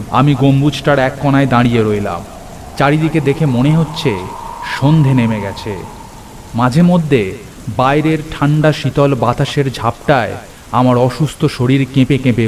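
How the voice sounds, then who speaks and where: A man narrates a scripted first-person horror story in a measured, descriptive storytelling manner. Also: medium pitch, background noise. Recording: music under the voice.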